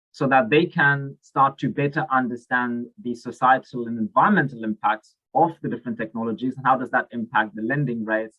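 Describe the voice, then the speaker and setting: A man's voice: mid-range voice, husky, dull audio. A man with a South African accent, speaking thoughtfully at a conference over a video call, with many fillers and restarts.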